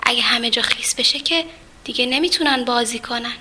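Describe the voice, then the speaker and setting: A gentle storybook cloud that longs to rain, musing softly to itself in a high, bright voice, as a woman reads it. Its tone is tender and wistful. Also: a little hiss or hum.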